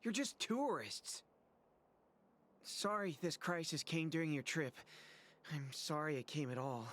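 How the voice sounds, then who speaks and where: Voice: medium pitch, unhurried, fairly bright tone, lightly acted. A voice actor playing an anxious, apologetic craftsman waiting for rescue. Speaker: game character.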